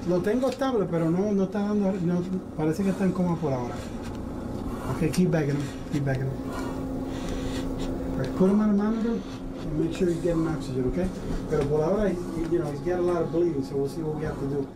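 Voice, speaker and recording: A man's voice: on the high side, brisk, heavy background noise, close to an everyday voice. An actor playing an emergency doctor giving orders to his team while treating a bleeding patient. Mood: urgent. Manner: calm, commanding, switches languages.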